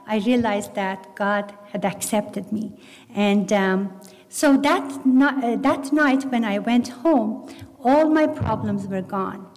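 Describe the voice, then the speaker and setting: A middle-aged woman with a Persian accent, whose first language is Farsi, earnestly telling her personal faith story with halting restarts. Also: medium pitch, a little mellow. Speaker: testimony speaker. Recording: long hall reverb.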